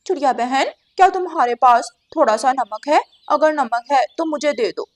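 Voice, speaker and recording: A woman's voice: high voice, normal speed, pitched above the performer's own voice, lightly acted. A woman voicing a sly, greedy female crow in a cartoon, with a wheedling, nosy manner.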